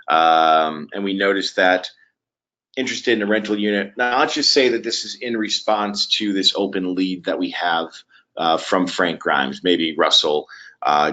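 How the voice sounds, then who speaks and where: A man presenting a software webinar walkthrough in a conversational, halting way with frequent pauses. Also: low-pitched. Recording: dull, lo-fi webinar audio.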